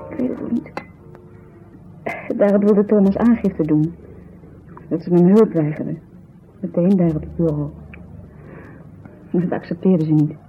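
A woman's voice: medium pitch, lightly acted. An actress playing a distraught, indignant woman in a 1970s Dutch radio drama, speaking with agitated, bitter emphasis. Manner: agitated, emphatic, bitter storytelling with tag questions. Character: distraught, indignant woman telling of her injured friend. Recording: muffled 1970s radio recording.

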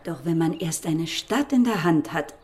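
An actress playing a clear-headed, resolute woman among rebels, speaking persuasively in radio drama dialogue. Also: sits low, expressive, normal speed, a little mellow.

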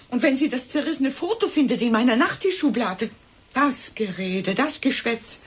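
An actress playing a desperate, cornered woman in a German radio drama, pleading in halting, agitated standard German. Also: on the high side, husky. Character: desperate, cornered woman defending herself against murder suspicion.

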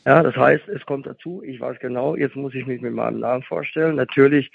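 A man with a husky voice, hosting a conversational interview as a therapist and explaining emphatically through questions he answers himself. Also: medium pitch, a little fast, dry recording. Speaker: host and stuttering therapist. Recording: phone-like, band-limited sound.

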